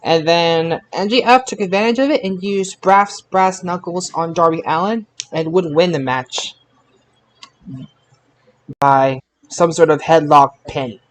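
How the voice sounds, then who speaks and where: A man with a fairly high voice hosts a casual sports recap podcast. He talks haltingly, doubling back on words as he pieces details together. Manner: halting, repeats words while recalling details. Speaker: podcast host. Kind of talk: casual wrestling results recap.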